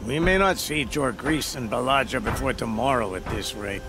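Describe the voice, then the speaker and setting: Man playing an animated, exasperated guard. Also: mid-range voice, moderate pace, over music, lightly acted. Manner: grumbling, animated. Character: exasperated put-upon male guard, good-natured. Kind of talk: video game dialogue acting.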